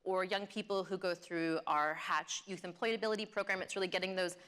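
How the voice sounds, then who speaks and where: A woman's voice: on the low side, fairly even intonation, a little fast, a bit bright, smooth. A woman speaking earnestly as a corporate marketing panelist, explaining things in business language. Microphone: event stage microphone.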